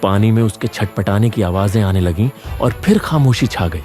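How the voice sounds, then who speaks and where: A man's voice: on the low side, moderate pace, dark tone, faint music behind. A man reading a scripted horror story as a dramatic narrator.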